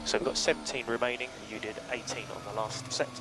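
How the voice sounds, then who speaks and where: A man's voice: medium pitch, fairly even intonation, fast talker. A man working as a race engineer, giving terse, procedural briefings full of numbers over team radio. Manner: clipped, procedural, relaying numbers. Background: vehicle noise and hum.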